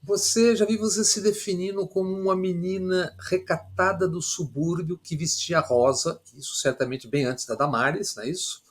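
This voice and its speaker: A man hosting an interview, giving formal, measured introductions and asking questions. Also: high voice, fairly even intonation. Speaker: interview host.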